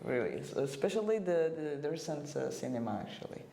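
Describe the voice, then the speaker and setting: Female podcast guest from Morocco, native French speaker, hesitant delivery, mellow tone, roomy recording. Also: low-pitched, expressive, vocal fry. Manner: halting, many "uh" fillers and restarts. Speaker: guest, assistant director. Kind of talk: casual podcast chat. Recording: roomy room echo.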